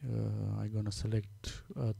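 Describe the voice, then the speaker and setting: A man with a Romanian accent, Romanian his first language, talking through a software tutorial step by step, halting with many fillers as he works. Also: fairly low pitch, flat delivery, gravelly, breathy edge, no echo. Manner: halting, many fillers, thinking aloud while working. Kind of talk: screen-recorded software tutorial.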